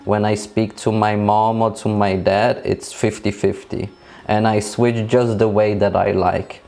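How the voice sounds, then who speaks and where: A man from Denmark, raised speaking Danish and Polish, chats at a slow, unhurried pace. His voice sits a little low, and he talks hesitantly with many fillers and restarts while soft music plays behind him. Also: little pitch movement, vocal fry. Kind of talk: casual conversation.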